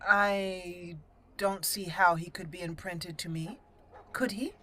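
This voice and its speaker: A woman's voice: lowish voice, steady tone, takes it slowly, bright, forceful tone. A woman roleplaying her own game character, a young elf noblewoman, in a polite, formal way. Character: proper young elf woman raised among dwarves.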